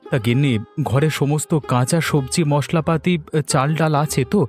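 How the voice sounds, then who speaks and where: A man's voice: a little high, normal speed, soft background music, close to an everyday voice. A man voicing a mild, dutiful village farmer in a cartoon story, homely and matter-of-fact. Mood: obliging, homely. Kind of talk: Bengali cartoon story dialogue.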